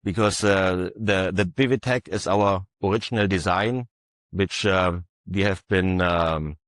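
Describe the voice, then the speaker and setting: Guy with a really low voice doing a conference talk, lots of uhs and pauses, dry sound. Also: a bit bright, dry recording. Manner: halting, many filler uhs. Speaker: conference panelist. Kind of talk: industry presentation.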